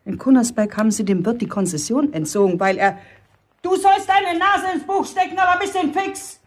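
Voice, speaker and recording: A woman's voice: high voice, moderate pace. An actress playing a middle-aged pub landlady and strict mother in a German radio play, brusque, nagging and colloquial. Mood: grumbling, scolding.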